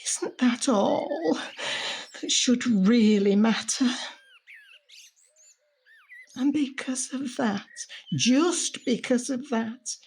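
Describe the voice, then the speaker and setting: A woman playing a gentle, reflective grandmother in an audio drama, speaking in a measured, heartfelt way, encouraging and tender. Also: fairly high pitch, gravelly, slightly rough, exaggerated voice. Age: older. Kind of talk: audio drama monologue and dialogue. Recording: noticeable background noise with birdsong.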